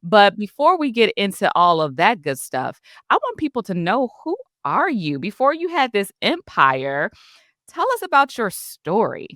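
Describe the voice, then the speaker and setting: A woman hosting a podcast, interviewing a guest in an encouraging, expressive way. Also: mid-range voice, measured pace, no echo, clean studio sound. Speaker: podcast host.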